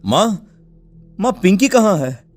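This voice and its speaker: A man's voice: sits high, very animated, takes it slowly, heavily acted. A man playing an anxious village father in a cartoon story, speaking plaintively with music behind. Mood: alarmed. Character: anxious village father searching for his daughter. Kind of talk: Hindi cartoon story acting.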